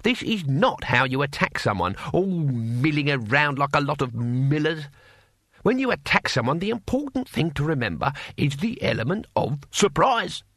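A man voicing a very old barbarian warrior in a comic fantasy audiobook, cheerfully menacing, cackling and rough-spoken. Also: on the high side, sing-song, moderate pace, fairly bright tone, no echo, studio quality. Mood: weary, boastful. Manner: cackling, rough colloquial speech.

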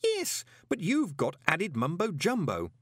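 An actor playing a panicked young man who gasps his words out, strained and high. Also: huge pitch swings, a little slow, no echo, studio quality, exaggerated voice. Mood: frantic. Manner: gasping, strained and high-pitched. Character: panicked young male con man, frantic.

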